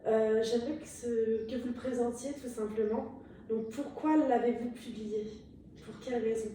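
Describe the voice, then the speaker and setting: A woman's voice: on the high side, fairly even intonation, moderate pace, warm tone, a little hiss or hum. A woman in her twenties, a journalist hosting an interview, speaking with some hesitant fillers. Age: 20s. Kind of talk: interview hosting, reading aloud. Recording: echoey room, mic far off.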